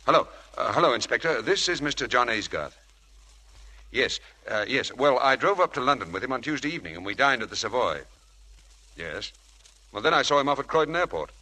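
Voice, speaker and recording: An actor playing a suave, evasive English husband in a 1940s radio drama, with a husky voice and smooth, clipped talk. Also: male voice, mid-range voice, sing-song, brisk, bright, forceful tone. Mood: polite, controlled. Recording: old radio broadcast recording.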